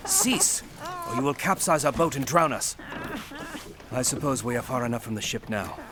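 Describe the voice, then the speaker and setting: A man's voice: mid-range voice, wildly swooping pitch, moderate pace. A man playing a stern knightly guardian in an audio drama, speaking in a terse, formal, commanding way. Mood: urgent, forceful.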